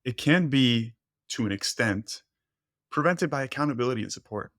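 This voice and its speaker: A man's voice: medium pitch, lively intonation, a bit bright, no room sound, studio quality. A man whose first language is Spanish, talking casually as a podcast host and often checking in with "right?". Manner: fast, filler-heavy, says "right?" often. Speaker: podcast host, nutrition coach.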